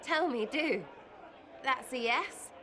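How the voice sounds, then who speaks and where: Brash young woman for a game: rambling, crude, giggly, unhurried, bright-pitched. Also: expressive, crowd noise. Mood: earnest. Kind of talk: video game cutscene acting.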